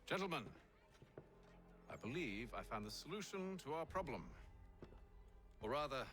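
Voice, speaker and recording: An actor playing a composed, cunning English gentleman leader in a video game, speaking with refined, measured authority. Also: a little high, very animated. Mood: confident. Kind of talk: dramatic video game cutscene acting. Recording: prominent music behind the voice.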